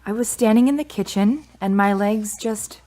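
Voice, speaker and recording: A woman's voice: mid-range voice, normal speed, mellow, lightly acted. Woman acting candid, grieving young woman. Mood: subdued, candid. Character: grieving adopted daughter near thirty, candid and restless. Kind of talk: radio drama acting.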